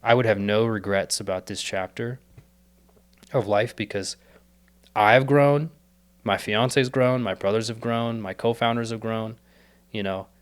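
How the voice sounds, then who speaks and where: A man's voice: fairly low pitch, measured pace, long pauses, smooth. A young man, a podcast guest, talking earnestly and rambling with many fillers and restarts, his voice a little worn as he is losing it. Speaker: podcast guest, startup co-founder. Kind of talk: casual reflective interview talk.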